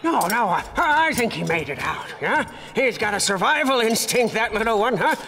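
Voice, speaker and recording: An actor playing a manic, devout wasteland car mechanic in a video game, babbling and stammering with zealous reverence. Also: male voice, high-pitched, big pitch swings, moderate pace, sharp tone, slight background noise, exaggerated voice. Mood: excitable, fussing. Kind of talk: theatrical video game character acting.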